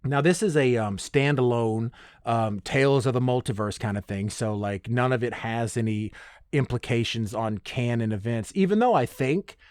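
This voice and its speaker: Guy rambling solo on his podcast, average pitch, self-deprecating, really dry close sound. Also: moderate pace, a little mellow, clear, resonant tone, dry recording. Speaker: podcast host. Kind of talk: casual solo chat.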